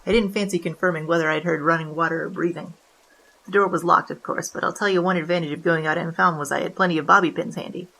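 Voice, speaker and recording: A wry hardboiled bandit voiced by a woman tells a noir tale at a rapid clip. The voice sits a little low, deadpan and slangy, in radio-play narration. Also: gravelly, low-quality recording.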